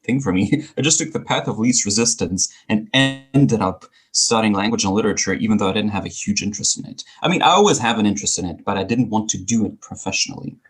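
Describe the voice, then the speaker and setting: A man's voice: on the low side, expressive, fast talker, few pauses, slightly rough, faint music behind. A man who is a native speaker of Greek and German, talking articulately and wryly as a coach being interviewed. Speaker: podcast guest, speaking coach. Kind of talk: conversational interview.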